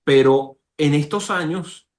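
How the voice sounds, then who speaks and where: A man's voice: fairly high pitch, slow. Venezuelan man in casual conversation. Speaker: fan club member.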